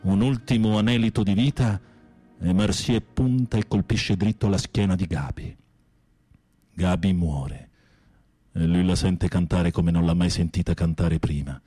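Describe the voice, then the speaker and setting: An actor in an Italian radio drama playing a sensitive prisoner with a literary, dramatic, expressive way of speaking. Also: male voice, deep voice, unhurried, dry recording, soft background music. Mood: melodramatic storytelling. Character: theatrical, sensitive male prisoner telling melodramatic tales.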